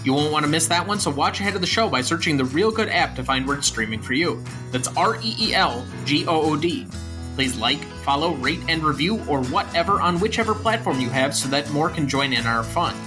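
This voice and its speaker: A man's voice: a little high, expressive, fairly quick, music in the background. A young American man talking about films as a podcast host. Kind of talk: film podcast discussion and sign-off.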